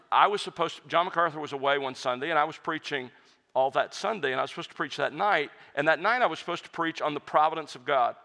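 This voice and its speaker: Pastor fielding questions: man, earnest and reflective, bright voice, long hall reverb. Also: medium pitch. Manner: earnest, reflective, with frequent restarts. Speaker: pastor and hymn writer answering audience questions. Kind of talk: conversational Q&A talk.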